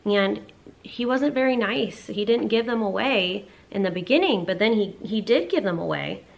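A woman in her thirties reading to children as a story time host, with expressive, clearly phrased delivery. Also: medium pitch, normal speed, muffled recording. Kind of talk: children's picture book read-aloud.

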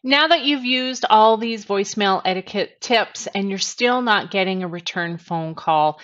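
A woman's voice: medium pitch, a little slow, a bit bright. Woman presenting tips, slightly dull headset sound. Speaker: sales trainer presenting tips. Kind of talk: instructional to-camera talk. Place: small attic bedroom, indoors.

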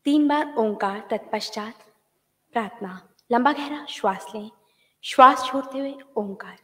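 A woman's voice: a little high, racing. A woman with an Indian accent politely giving instructions as she leads a group prayer in a reverberant hall. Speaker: prayer leader.

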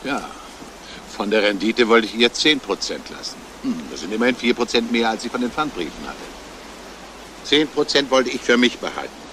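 An actor playing a despairing, self-justifying schoolteacher in a halting, brooding confession, in a crime radio play. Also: male voice, mid-range voice, animated, a bit bright, close to an everyday voice. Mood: bitter, defensive. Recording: noisy old radio play recording.

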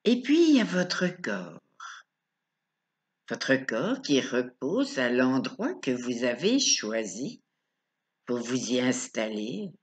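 A woman leading a guided relaxation as a coach, speaking calmly and instructively in short phrases with pauses. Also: rumbling low. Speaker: relaxation coach guiding yoga nidra.

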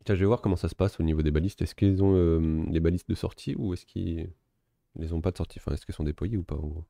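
A man giving relaxed, self-mocking commentary while playing a strategy game, thinking aloud. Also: sits low, fast talker, creaky, no echo. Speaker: gaming video host. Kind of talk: casual let's-play commentary.

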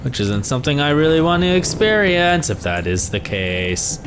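A young man with a hoarse voice, commentating a horror game he is playing, swearing and reacting to scares. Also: on the high side, sing-song, normal speed, noisy background. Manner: reactive, swearing, jumpy exclamations. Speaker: gaming YouTuber. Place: small room at home. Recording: game music behind the voice.

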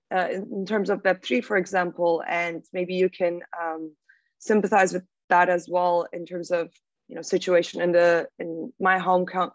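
A woman's voice: lowish voice, dry recording. Turkish-accented young woman on a dull call. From Turkey. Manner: thoughtful, with fillers and restarts. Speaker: podcast guest. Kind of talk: casual interview talk. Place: small room at home. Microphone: laptop mic on a video call. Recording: dull, dry video-call audio.

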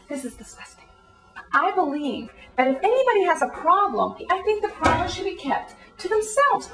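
A woman acting a scornful, very high-pitched woman. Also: rough recording. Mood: disgusted, incredulous. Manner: indignant, condescending, dramatic rhetorical questions. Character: judgmental, scornful woman, switching between English and Spanish. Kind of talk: comic monologue in character.

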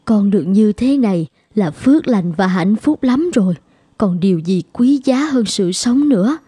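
A woman's voice: medium pitch, lively intonation, moderate pace, mellow, breathy edge, no room sound, clean studio sound. An actress playing a devoted, emotional mother in a cartoon, tender, earnest and dramatic. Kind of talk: Vietnamese cartoon voice acting.

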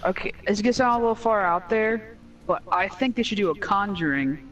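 A man's voice: sits high, brisk, fairly bright tone, lo-fi sound, soft background music. A man chatting casually as co-host over gameplay, heard on a slightly distant voice chat mic. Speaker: gaming co-host.

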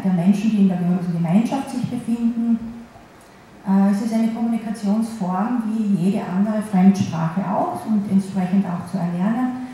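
Dark-voiced woman interpreter, echoey hall. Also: medium pitch, a little hiss or hum. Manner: halting interpreting with restarts, pauses and fillers. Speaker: sign language interpreter. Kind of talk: voicing a signed lecture in the first person. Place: large wood-panelled council hall. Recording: very echoey hall, faint ambience.

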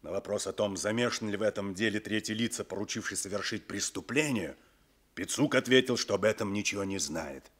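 A police sergeant in a vintage radio drama. He reads statements aloud in a low voice, formal, measured and dutiful. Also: fairly bright tone, breathy edge. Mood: official, dutiful. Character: dutiful male police sergeant reading out testimony. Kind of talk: 1960s Soviet radio drama acting.

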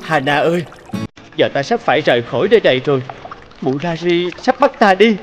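Fairy-tale prince man actor: young, devoted, earnest and tender, lively slightly high delivery for cartoon. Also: fairly quick, over loud music, close to an everyday voice. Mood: worried, tender.

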